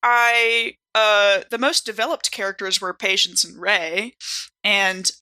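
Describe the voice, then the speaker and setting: A woman's voice: medium pitch, fairly even intonation, slow, pushed and bright, clear, resonant tone, rough recording. A woman chatting casually as a podcast cast member, looking back on a character she played. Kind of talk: casual retrospective chat.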